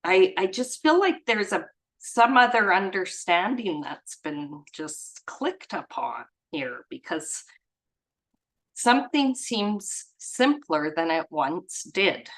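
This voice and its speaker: A woman with a slightly low voice speaks at a very unhurried pace. She leads a study group, moving between reading aloud and casual commentary of her own. Her way of talking is informal, effusive and reflective. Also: very animated, a bit bright, low-quality recording. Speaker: reader and study group leader.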